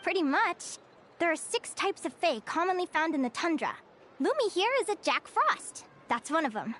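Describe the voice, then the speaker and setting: A woman's voice: extremely high pitch, big pitch swings, normal speed, fairly bright tone, slight background noise, exaggerated voice. A woman voicing a bubbly, curious young female guild advisor in a video game, with a light girlish voice and a chirpy, gushing manner. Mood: informative, proud.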